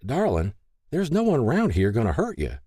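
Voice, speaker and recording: A man's voice: medium pitch, wildly swooping pitch, moderate pace, dark tone, husky, dry recording, clean studio sound, pitched above the performer's own voice. A man voicing a kindly, candid older small-town wife in an audiobook. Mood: friendly, coaxing. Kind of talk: audiobook character dialogue.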